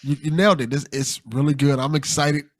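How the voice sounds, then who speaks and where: Higher-pitched, encouraging man, close dry sound. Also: fairly even intonation, brisk, no echo. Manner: encouraging, supportive. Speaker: podcast host. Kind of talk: casual podcast chat.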